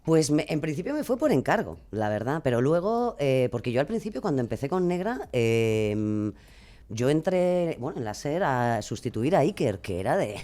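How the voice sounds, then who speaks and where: A woman with a Castilian Spanish accent chatting casually as a podcaster guest in a radio interview, expressive, rambling and self-deprecating. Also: rumbling low, moderate pace. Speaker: guest, true-crime podcaster.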